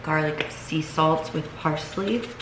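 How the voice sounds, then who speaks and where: A woman's voice: sits low, takes it slowly. A young woman talking casually to her viewers in a chatty vlog, sounding congested from illness and using lots of fillers. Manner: chatty, filler-heavy, talks straight to viewers. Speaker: vlogger. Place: home kitchen, then seated at home. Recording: echoey room with noticeable background noise.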